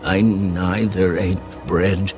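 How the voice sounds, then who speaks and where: Solemn old bible prophet, low voice, super slow grave oratory, music behind. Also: male voice, fairly even intonation. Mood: solemn recounting. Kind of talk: dramatized audio Bible reading.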